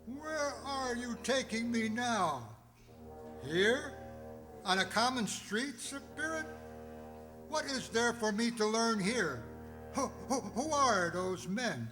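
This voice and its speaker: A man acting a frightened, remorseful old miser in a radio drama, stammering and pleading in a drawn-out, tremulous way. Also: extremely high pitch, expressive, heavily acted. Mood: anxious, pitying. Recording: echoey hall, music underneath.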